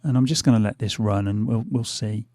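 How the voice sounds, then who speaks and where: A middle-aged man talks over his gameplay in a loose, hesitant ramble full of fillers. His voice is gravelly and fraying because he keeps losing it. The sound is dry and close, with no room around it. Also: fairly low pitch, fairly even intonation, a little fast, warm tone, no echo. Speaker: gaming channel host. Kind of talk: casual gameplay commentary. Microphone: headphones on, desk mic.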